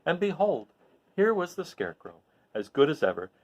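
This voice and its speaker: A man reading a children's story aloud expressively, in an animated way that addresses his listeners. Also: on the high side, moderate pace. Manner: animated, recapping with questions to listeners.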